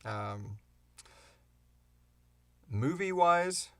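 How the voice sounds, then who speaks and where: A man's voice: fairly high pitch, animated, extremely slow, a bit bright, dry recording. A man chatting casually as a podcast co-host, rambling along with enthusiasm as he answers questions. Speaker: creator and co-host of a fiction podcast. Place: small enclosed recording booth.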